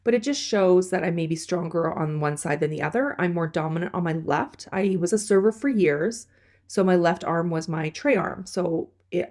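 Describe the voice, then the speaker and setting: A woman's voice: lowish voice, darkish tone. A woman in her thirties vlogging casually, nasal and stuffed up from a head cold. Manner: chatty, rambling explanations. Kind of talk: casual vlog talk.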